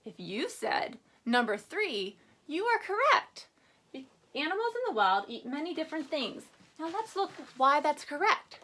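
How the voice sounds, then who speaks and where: A woman teacher giving a read-aloud reading lesson to children, instructing with questions, prompts and deliberate emphasis on key words. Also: high voice, very animated, pushed and bright. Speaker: elementary school teacher.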